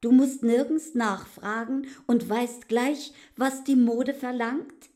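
A woman's voice: fairly high pitch, steady tone, slow pace, a little mellow. An actress playing a haughty, suspicious inn landlady in a literary radio drama, talking in an imperious, probing, mocking way.

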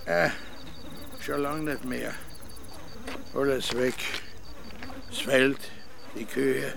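An actor in a radio drama playing a grumbly, laconic former farmer who talks in terse, clipped phrases. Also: male voice, mid-range voice, steady tone, very slow, gravelly, background noise, heavily acted. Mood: dry, resigned.

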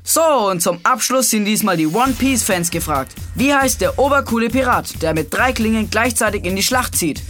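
A woman's voice: mid-range voice, lively intonation, fairly quick, few pauses, a bit bright, lightly acted. A woman voicing a cocky, teasing boy who hosts a children's quiz show in a breezy, slangy way. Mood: breezy presenting. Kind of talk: scripted children's quiz presenting. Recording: music behind the voice.

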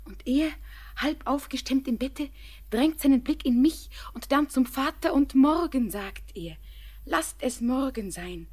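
A young woman playing an anxious, devoted bride in a German radio drama, breathy and often near a whisper, with tender, fervent, poetic delivery. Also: high-pitched, expressive, a little slow, a little mellow. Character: anxious, devoted young bride keeping watch over her beloved.

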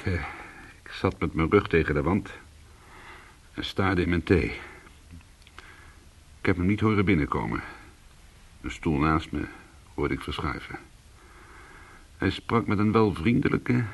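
An actor playing a weary, hard-boiled former cat burglar, telling his story in an intimate, brooding and deliberate way in a radio play. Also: low-pitched, expressive, gravelly, slightly rough, heavily acted. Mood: weary, reflective.

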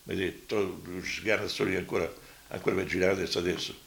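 An elderly man in his eighties with a Roman accent, recounting his memories as a witness, vividly but with halting repetitions. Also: deep voice, fairly even intonation, gravelly, roomy sound, background noise. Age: 80s. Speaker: survivor giving testimony. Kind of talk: spoken reminiscence.

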